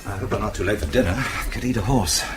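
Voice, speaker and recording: An actor playing a wry, evasive British husband in a radio drama, dry and sardonic with a British accent. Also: male voice, lowish voice, quick pace, music in the background, lightly acted. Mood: wry, exasperated.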